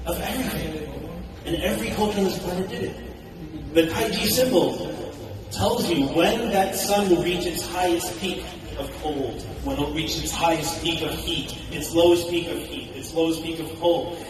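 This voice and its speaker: A man giving an enthusiastic, conversational conference speech, often posing questions to the audience. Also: high voice. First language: English. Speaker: conference speaker. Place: large hall. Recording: echoey hall recorded from a distance, audible noise.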